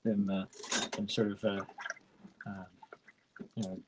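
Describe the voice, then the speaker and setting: A middle-aged man with a small-town Ontario Canadian accent hosts a casual livestream chat in a fairly low voice. He rambles along with plenty of fillers and restarts. Loud noise sits under the recording. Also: level tone, takes it slowly. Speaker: livestream host.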